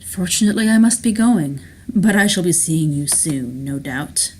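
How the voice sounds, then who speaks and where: A woman's voice: low voice, animated, normal speed, darkish tone, a little hiss or hum. Woman playing suave, smug rich male villain. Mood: gleeful, unhurried. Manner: urbane, theatrical, savoring words. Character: suave, wealthy, unflappable male villain yacht owner.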